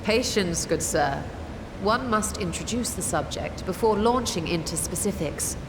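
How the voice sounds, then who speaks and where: An actress playing a self-assured, scholarly sorceress in a fantasy game, explaining in a precise, composed and formal way. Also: female voice, on the low side, expressive, unhurried, background noise. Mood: composed, lecturing.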